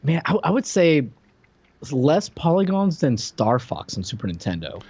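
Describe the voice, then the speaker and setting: A man's voice: a little high, sing-song, moderate pace, darkish tone, slightly rough, no room sound, highs cut off. An American man co-hosting a podcast, talking through a TV show in an informal, hedging, conversational way. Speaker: podcast co-host. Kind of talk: casual chat reviewing a TV show.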